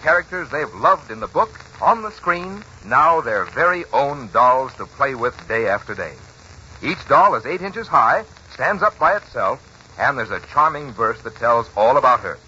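Bright, forceful male radio sponsor announcer. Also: medium pitch, slightly rough, lo-fi sound. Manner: polished salesmanship. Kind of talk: scripted 1940s radio advertising. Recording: noisy, band-limited 1940s radio recording.

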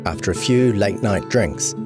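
A man's voice: on the low side, lively intonation, moderate pace. A man reading a scripted true crime long-read as narrator. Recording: music behind voice. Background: guitar music.

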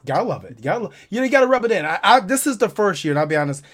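Fast-talking man from Buffalo, New York. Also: sits high, sing-song, fairly bright tone. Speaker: podcast guest. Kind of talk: casual sports chat.

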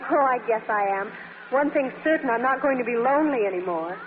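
Woman acting cheerful young woman, high pitch, candid, breezy, very varied intonation, tinny vintage radio comedy. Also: expressive, brisk, noisy background, natural voice. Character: cheerful, forthright young bride-to-be. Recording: echoing, tinny 1940s radio broadcast.

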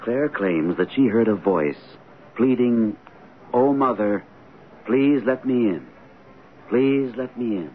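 An actor playing a concerned, patient father in a radio drama, speaking earnestly, gently and deliberately. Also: medium pitch, lively intonation. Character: concerned, patient father, imaginative and open-minded. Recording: tinny, noisy old radio broadcast.

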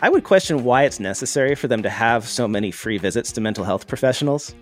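A man co-hosting an accounting podcast in casual chat, explaining the news in a measured way while a bit stuffed up. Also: medium pitch, expressive, faint music behind. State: stuffed up from a cold. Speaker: podcast co-host.

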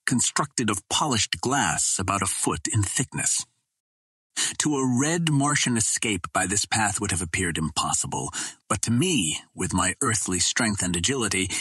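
A man narrating a classic adventure novel in a measured, formal storytelling way. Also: on the low side, fairly bright tone, breathy edge, no echo. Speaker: audiobook reader.

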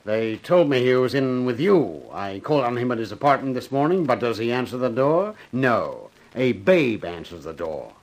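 An actor playing a blunt, sardonic police lieutenant in a 1950s radio crime drama, wry and insinuating. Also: male voice, mid-range voice, very animated, moderate pace, hardly any pauses.

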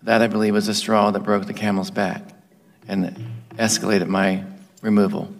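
A man testifies at a hearing in a flat, measured way. His low, gravelly voice keeps a narrow pitch. It is heard from far off in a long-reverb hall, with faint music under it. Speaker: testifying official. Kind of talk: congressional testimony. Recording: distant archival hearing audio with reverb.

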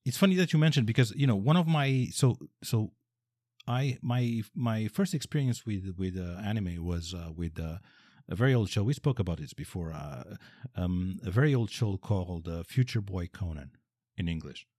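Man with a Portuguese accent, deep and mellow, dry mic, hesitant chatter. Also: lively intonation, no room sound. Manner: halting, many restarts. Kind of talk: casual conversation.

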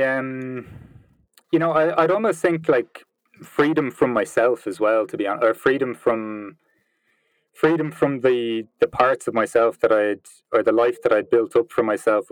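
A man in his late thirties, talking reflectively in an interview, pausing and restarting often as he searches for words. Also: mid-range voice, fairly even intonation, dry recording, poor audio. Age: 30s. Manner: thoughtful, hesitant, many restarts and fillers. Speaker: interview guest.

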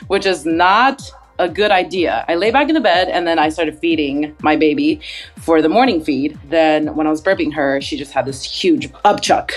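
A young woman with a notably low voice tells a personal story as a podcast guest. She narrates in an animated way, leaning into the telling. Music plays faintly behind her. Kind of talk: personal anecdote.

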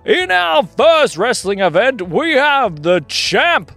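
A storyteller putting on a loud, excited platypus wrestling announcer, stretching words out like a ringside showman for a children's tale. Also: sits high, creaky, no room sound, faint music behind, voice pushed up, full character voice. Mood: hyped, booming. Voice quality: very loud, projected. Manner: drawn-out ringside showman delivery.

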